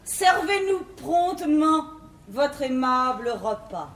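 A woman's voice: high voice, sing-song, slow, a bit bright, full character voice. An actress playing an imperious, affected learned lady of the house in a classical French stage comedy, declaiming verse grandly. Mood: eager, gracious. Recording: echoey theatre stage, noisy 1950s recording.

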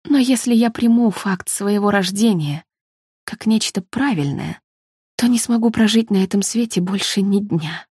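A woman narrator voicing a quiet, fragile, melancholy woman from a novel, speaking hushed, hesitant and slow. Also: mid-range voice, breathy edge, no room sound, clean studio sound, close to an everyday voice. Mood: hesitant, sad.

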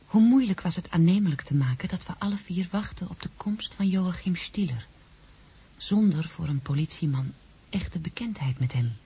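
A very breathy, mellow female voice, as a shaken but resolute young woman in a radio drama. She speaks softly and confidingly, often close to a hush. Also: on the low side, lively intonation, moderate pace, exaggerated voice. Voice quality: breathy, soft, dark. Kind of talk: literary radio drama narration.

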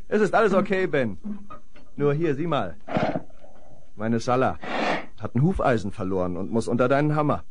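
A man's voice: medium pitch, lively intonation, normal speed, pauses often, close to an everyday voice. A male actor playing a plucky, friendly young rancher's son in a 1970s western radio play, familiar and assured. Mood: cheerful. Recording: noisy vinyl radio play with animal sound effects.

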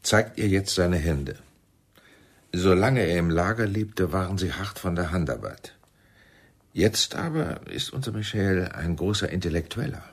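An actor playing a commanding, smoothly persuasive intelligence chief in a radio drama, husky and deliberate. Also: male voice, sits low, animated, full character voice. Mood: sardonic, commanding.

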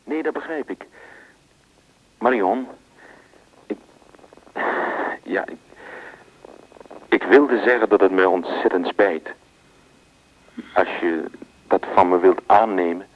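An actor playing a hesitant young male artist in a radio drama, talking haltingly and apologetically. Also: low-pitched. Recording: dull muffled sound.

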